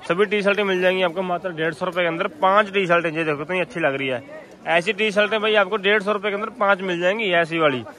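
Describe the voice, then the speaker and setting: A man's voice: high-pitched, quick pace, bright, forceful tone. A man giving repetitive, sales-like running commentary to viewers as a street market vlogger, asking vendors prices. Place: busy outdoor street market.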